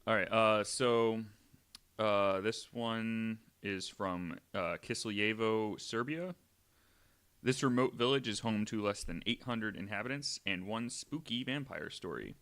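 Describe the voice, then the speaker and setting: A man's voice: lowish voice, measured pace, fairly bright tone. A young man co-hosting a podcast, reading a spooky article aloud with jokey asides. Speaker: guest co-host.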